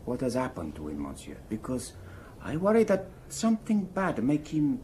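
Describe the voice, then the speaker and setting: An actor playing a deferential Italian chauffeur with an Italian accent, speaking hesitantly and politely in broken grammar. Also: male voice, medium pitch, huge pitch swings, normal speed, dark tone, a little hiss or hum. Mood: troubled, earnest. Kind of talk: radio drama acting.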